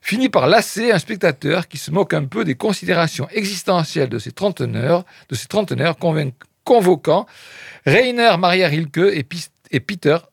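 A man's voice: high-pitched, animated, moderate pace, creaky, breathy edge, dry recording, clean studio sound. A man presenting film reviews on the radio, reading press quotes and adding wry, hesitant asides full of fillers.

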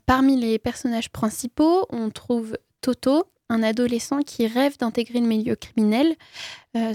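A woman with a fairly high voice walks through a film summary she has prepared, often stopping on hesitant fillers between sentences. Her voice is recorded close and dry, with no room sound. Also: darkish tone, no echo. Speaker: film presenter.

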